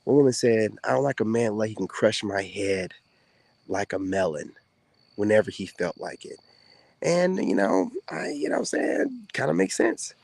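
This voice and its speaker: A soft-spoken man talks casually to the camera in a laid-back drawl, full of fillers and asides. His voice sits fairly low. The sound is dry and close, with little room in it. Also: very animated, normal speed, gravelly, dry recording. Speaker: content creator speaking to women viewers. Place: outdoors.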